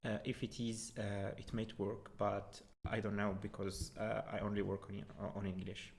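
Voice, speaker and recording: Young moroccan guy, arabic native, kinda low voice, halting and repeats words while explaining his phd stuff. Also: flat delivery, vocal fry. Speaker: doctoral student guest. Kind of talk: informal explanation in an interview.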